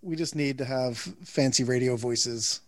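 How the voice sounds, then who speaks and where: A man's voice: mid-range voice, unhurried. A man co-hosting a podcast, making easygoing, joking remarks, recorded farther from the mic. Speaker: podcast co-host. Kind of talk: casual podcast chat.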